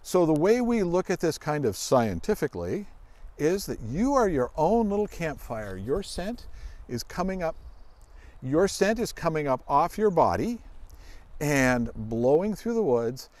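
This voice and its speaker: A man's voice: a little high, very animated, measured pace, a little mellow, no room sound. A middle-aged man giving a casual, folksy demonstration for children as a dog handler, explaining and asking questions. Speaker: search dog handler and guest presenter. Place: outdoors in a grassy forest clearing.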